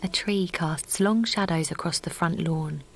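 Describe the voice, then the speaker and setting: A woman's voice: lowish voice, a little slow, slight background noise. An actress playing a tender young mother, delivering a contemplative first-person monologue in an audio drama. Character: tender young mother absorbed in home and children.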